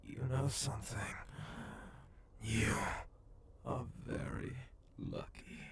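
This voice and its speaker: A man playing a menacing, sadistic cyborg gang boss and bounty hunter in a dark ASMR roleplay, in a breathy, gravelly whisper with slow, taunting delivery. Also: medium pitch, fairly even intonation, background noise. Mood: mocking, threatening. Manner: slow, deliberate, taunting, swearing.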